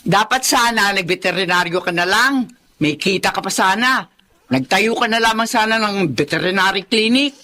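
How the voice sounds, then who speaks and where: A woman's voice: mid-range voice, animated, normal speed, pushed and bright. An actress playing a middle-aged Filipino mother in a drama, scolding and exasperated but caring. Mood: exasperated, nagging. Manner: scolding, complaining. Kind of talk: Filipino radio-style drama acting.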